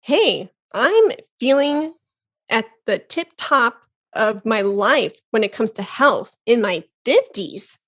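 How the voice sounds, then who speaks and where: An enthusiastic woman in her thirties hosts a casual podcast interview. She talks in a slightly high voice, exclaims often and laughs between thoughts. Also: sing-song, slow, fairly bright tone. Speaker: podcast host.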